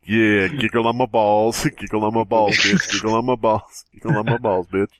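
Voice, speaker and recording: A man's voice: on the low side, little pitch movement, moderate pace. A man co-hosting a comedy podcast, reading a story and bantering crudely with casual profanity. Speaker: podcast co-host. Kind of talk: casual joking group chat and story reading.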